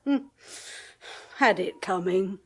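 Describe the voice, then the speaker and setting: A woman's voice: medium pitch, takes it slowly, a bit bright, husky. An actress playing a sardonic middle-aged woman medium who admits to being a fraud, with a theatrical, mocking drawl, in a radio drama. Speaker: clairvoyant Griselda. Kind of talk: 1980s radio drama acting.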